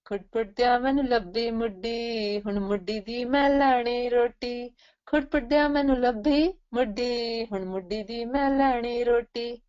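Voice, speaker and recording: Woman voicing a cheeky high-pitched storybook mouse. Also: big pitch swings, normal speed, a little mellow, dull audio. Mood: cheeky demanding. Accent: Punjabi. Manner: sing-song rhyming chant. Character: clever, cheeky, bargaining male mouse of a folk tale.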